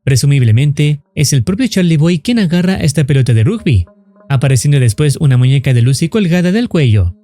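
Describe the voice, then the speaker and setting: A man's voice: fairly high pitch, big pitch swings, brisk, warm tone, faint music behind. A man reading scripted narration for a horror explainer video.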